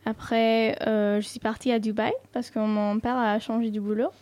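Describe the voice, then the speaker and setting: A woman's voice: fairly high pitch, little pitch movement, moderate pace, darkish tone, no echo. A nineteen-year-old woman from India whose first language is Sindhi, answering interview questions hesitantly with many fillers. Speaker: guest.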